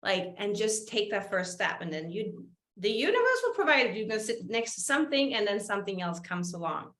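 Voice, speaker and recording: Bright-voiced Swedish woman in echoey room. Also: medium pitch, very animated, brisk. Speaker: interview host. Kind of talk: casual chat.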